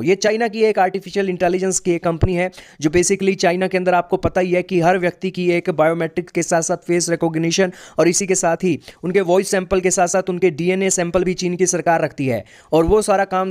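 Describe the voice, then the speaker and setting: High-voiced Indian man presenting news. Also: a little mellow. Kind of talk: news explainer to camera, addressing viewers.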